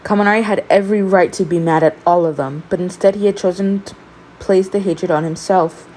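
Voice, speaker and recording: A woman narrates fan fiction in a slightly low voice. Her amateur storytelling is expressive, and the recording carries some noise behind her. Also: brisk, poor audio.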